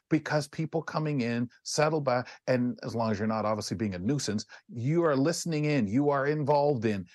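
A man's voice: fairly high pitch, no echo, studio quality. A middle-aged or older man with a Canadian accent on a casual radio panel, rambling and musing, slipping into bits of imagined dialogue. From Ontario, Canada. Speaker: talk show host.

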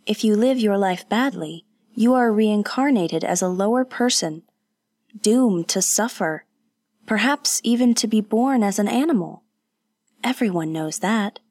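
Een vrouw die speelt een gretige jonge edelvrouw. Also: female voice, mid-range voice, unhurried, darkish tone, dry recording, clean studio sound, voice pushed up. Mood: eager. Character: sheltered young noblewoman, eager and curious.

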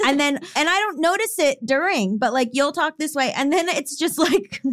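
A woman co-hosting a podcast, speaking in a slightly high voice. Her delivery is fast and intense, so her points come out sounding like an argument. The tone is casual chat among friends. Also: expressive, a bit bright. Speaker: podcast co-host.

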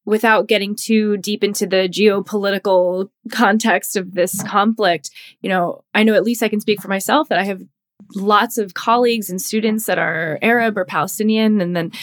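A woman co-hosting a podcast who works in healthcare, speaking earnestly and haltingly as she searches for words. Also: medium pitch, steady tone. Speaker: podcast co-host, healthcare worker. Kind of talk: casual podcast chat.

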